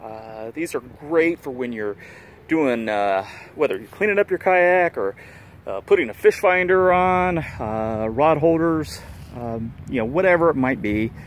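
A man filming a casual how-to video outdoors in a yard, talking in a relaxed, rambling way with lots of fillers while holding the camera. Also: mid-range voice, a little slow, slight background noise. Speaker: vlogger showing a DIY kayak rack.

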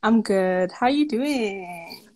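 Female guest, twenties, from Uganda, warm and effusive, earphone mic on a video call. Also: medium pitch, expressive, slow. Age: 20s. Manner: warm, gushing, laughs easily. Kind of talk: casual interview. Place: indoors by a sunny window. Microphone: earphone headset on a video call.

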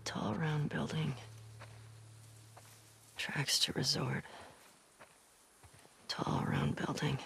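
An actress in a video game playing a wounded, relentless young woman hunter, terse and muttering in a worn-out, breathy, strained voice. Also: deep voice, level tone, extremely slow, pauses often, fairly bright tone, whispered, full character voice. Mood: pained, muttering. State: hurt, worn out. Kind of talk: cinematic video game acting.

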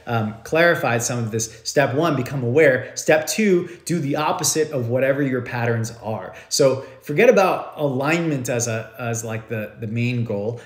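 A man in his thirties explains yoga ideas to a live audience from his living room. He talks animatedly and circles back over his own phrases, with his voice at an ordinary middle pitch. The room is bare and echoey, picked up on a phone camera held close. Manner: animated, gestures a lot, loose and repetitive phrasing. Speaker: yoga teacher. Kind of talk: live-stream teaching talk. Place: living room at home, indoors.

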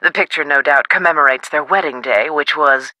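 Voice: medium pitch, brisk, sharp tone, dry recording, rough recording. An actor playing a self-assured, chatty, theatrical historical novelist in a video game. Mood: chatty, proud. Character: high-spirited, self-promoting writer of historical novels, local history buff. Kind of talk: video game character dialogue.

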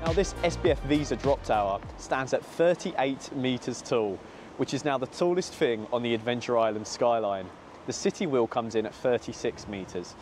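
A British man in his twenties speaks to camera as a vlog host. His voice sits at a middle pitch. He is outdoors, with faint music behind him. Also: moderate pace, a little mellow. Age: 20s. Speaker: theme park vlog host. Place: outdoors by a seaside amusement park. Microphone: small clip-on mic on jacket, filmed at arm's length.

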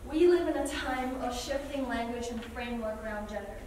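A woman's voice: a little high, a little fast, a little mellow, lightly acted. Woman as idealistic director, distant and echoey. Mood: idealistic, formal. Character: idealistic, welcoming theater director offering roles. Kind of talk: formal speech in a staged play reading. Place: large theater stage, indoors. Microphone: far camera in the audience. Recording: distant, very echoey theater, noisy.

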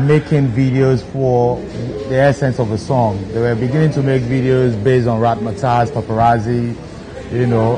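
A Nigerian man in his thirties, a DJ sitting in a studio armchair and talking to camera in a relaxed way, with lots of "you know" fillers. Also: medium pitch, poor audio. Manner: laid-back, frequent "you know" fillers. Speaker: DJ hosting his own video countdown. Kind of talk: relaxed seated talk to camera. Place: studio set, seated in armchair.

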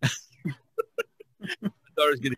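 A man's voice: moderate pace, sharp tone, no echo. A middle-aged or older American man from Indiana hosting a casual podcast chat, often chuckling as he talks. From Indiana, United States. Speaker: podcast host.

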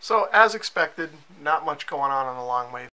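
A man giving a casual, low-key and hesitant hands-on product walkthrough as a reviewer in a cellar. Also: lively intonation, normal speed, bright, forceful tone, a little hiss or hum. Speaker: radio reviewer. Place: cellar with concrete walls.

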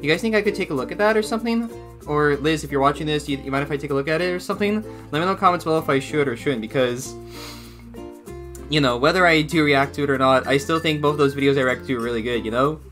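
A man's voice: a little high, fast, a bit bright, soft background music. A young man giving casual reaction commentary in a rambling way, often repeating and rephrasing himself, recorded in a small room at home. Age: young adult. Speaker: reaction video host.

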